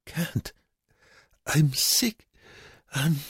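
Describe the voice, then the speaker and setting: A frail, dazed male prisoner in an audiobook, acted by a man. The voice is lifted and rough, coming out in halting, feeble mumbles. He sounds sick and worn out. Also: a little high, darkish tone, no room sound, studio quality, voice pushed up, exaggerated voice. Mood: pleading, feeble. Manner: mumbling, halting, groaning whisper. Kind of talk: audiobook character voice.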